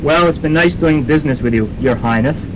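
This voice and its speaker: A man's voice: a little fast, close to an everyday voice. A young man playing a dry, smug American businessman in a campus radio comedy. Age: young adult. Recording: noisy rumbling student radio recording.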